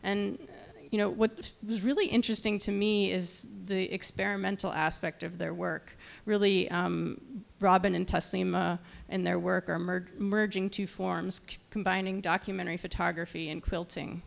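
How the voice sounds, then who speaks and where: Female event host, lowish pitch with heavy vocal fry, semi-scripted introductions, occasionally tripping over words. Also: little pitch movement. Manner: halting, stumbles over words. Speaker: nonprofit programs director introducing panel speakers.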